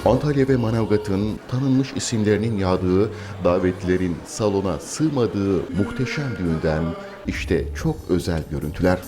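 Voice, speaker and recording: A man's voice: sits low, lively intonation, normal speed. A man reading scripted narration as a news reporter. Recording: music under the voice. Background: music.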